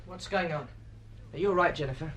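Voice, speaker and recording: A man's voice: a little high, a little fast, fairly bright tone, slight background noise, natural voice. An actor playing an angry, protective man in a radio drama, stern and commanding.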